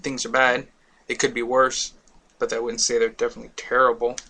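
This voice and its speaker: Bright-voiced male talk radio host. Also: mid-range voice, a little fast. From Miami. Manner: relaxed, rambling, many fillers.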